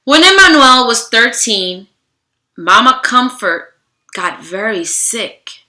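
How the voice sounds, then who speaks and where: Bright, high-voiced woman reading aloud to children. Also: slow pace. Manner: expressive, theatrical, addresses listeners directly. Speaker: teacher reading aloud to students. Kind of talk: children's read-aloud with animated greeting. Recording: roomy, echoing room sound.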